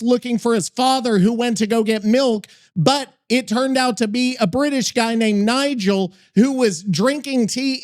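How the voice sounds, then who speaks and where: A male podcast host with a notably high voice. He banters casually and comically, swearing freely in an incredulous manner.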